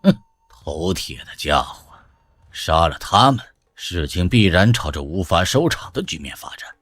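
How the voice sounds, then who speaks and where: An actor playing a cold, cynical courtier in a Chinese historical comic drama. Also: male voice, sits low, very animated, unhurried, a bit bright, exaggerated voice. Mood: scornful warning.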